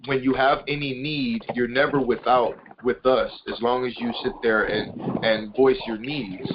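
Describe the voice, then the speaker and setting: Man, conference call host, rambling explanations, little pitch movement, dull lo-fi sound. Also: steady tone, a little slow. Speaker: conference call host, business and personal growth consultant. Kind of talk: informal group call talk. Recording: muffled conference call with loud noise, clicks.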